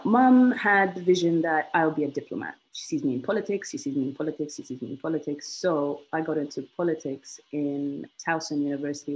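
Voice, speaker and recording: A woman in her thirties from Egypt, a guest on an online interview, telling her story in a lively way with frequent laughs and repeated phrases for emphasis. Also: low-pitched, low-quality recording. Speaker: guest, institute alumna. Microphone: video call.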